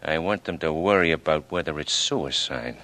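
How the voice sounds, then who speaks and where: A man's voice: rumbling low, lively intonation, normal speed, pushed and bright, exaggerated voice. An American man acting a menacing, short-tempered killer in a 1940s radio crime drama, bullying in manner, on an old recording. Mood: cold menace.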